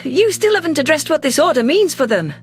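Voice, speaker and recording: A man voicing an indignant, combative alien political aide with a sharp, accusatory delivery in a sci-fi audio drama. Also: extremely high pitch, very animated, brisk, soft background music, voice pushed up. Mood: furious, challenging. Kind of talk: audio drama character reading.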